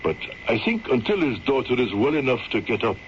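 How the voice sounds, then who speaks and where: An actor playing a courteous, reassuring Scottish country doctor with a calm, deliberate manner in an old-time radio drama. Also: male voice, medium pitch, close to an everyday voice. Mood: grave. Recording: dull old radio broadcast, some noise.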